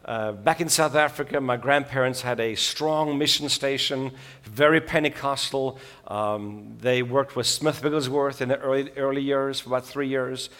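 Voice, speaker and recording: A man's voice: medium pitch, fairly even intonation, moderate pace, a bit bright. A middle-aged man from Switzerland, preaching a sermon in a folksy, joking way with many filler sounds. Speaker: guest preacher.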